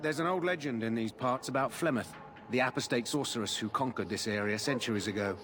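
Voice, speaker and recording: A man's voice: medium pitch, fast, fairly bright tone, lightly acted. A man voicing a blunt, chatty army guard in a fantasy video game. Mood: eager, gossiping. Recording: game music behind.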